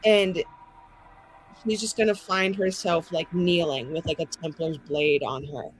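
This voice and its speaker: A woman's voice: sits low, steady tone, measured pace, a little hiss or hum, rough recording. A woman telling an improvised tabletop roleplay scene casually and dramatically, colloquial and sweary. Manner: dramatic, profane, colloquial with many "like"s.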